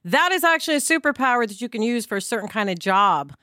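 A woman podcast host with a fairly high, very lively voice. She talks casually and emphatically, straight to the listener, in a dry, close sound. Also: quick pace, no room sound. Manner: emphatic, colloquial, addresses listeners directly.

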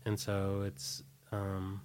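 A man's voice: sits low, monotone, very slow, darkish tone, clear, resonant tone. A middle-aged man talking casually as an interview guest, hesitant and meandering, often trailing off. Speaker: interview guest, musician and advertising copywriter.

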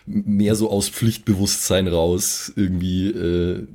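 A man chatting casually while brainstorming with a group, thinking aloud in a halting way. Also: low voice, steady tone, mellow. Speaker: podcast player in an audio drama cast.

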